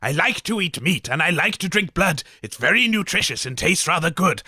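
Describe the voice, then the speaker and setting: A man's voice: high-pitched, big pitch swings, a little fast, bright, forceful tone, husky, no echo, clean studio sound, heavily acted. A man voicing a gruesome, man-eating cave monster in rhyming verse. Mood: gloating. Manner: rhyming villainous recitation. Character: hungry male guzzard monster, gruesome.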